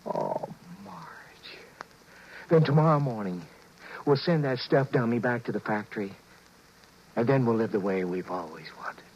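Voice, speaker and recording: An actor playing a guilty, sheepish husband in a 1950s radio drama, halting and earnest as he confesses and narrates. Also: on the low side, expressive, a little mellow, husky. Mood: astonished, relieved. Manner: halting, earnest, stammering in dialogue. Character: guilty, sheepish husband narrating his own marital misadventure. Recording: dull 1950s radio recording.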